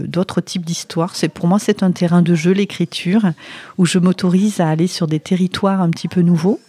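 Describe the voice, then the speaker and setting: A woman with a southwestern French accent answering interview questions conversationally, often pausing to find her words. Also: fairly low pitch, dark tone. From southwest France. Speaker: storyteller and writer, interview guest.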